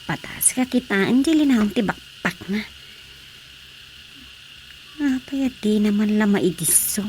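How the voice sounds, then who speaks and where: A woman's voice: medium pitch, lively intonation, slow, long pauses, a little mellow, slight background noise. A woman acting a caring, curious village woman in natural radio drama dialogue. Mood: furtive, wary.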